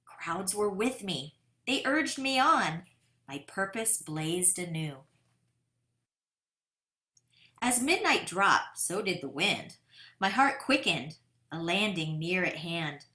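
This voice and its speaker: A woman voicing an eager, determined boy who tells his own kite-flying tale in a dramatic, deliberate picture-book read-aloud. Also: on the low side, very animated, pushed and bright, heavily acted. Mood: tense, dramatic. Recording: roomy, echoing sound.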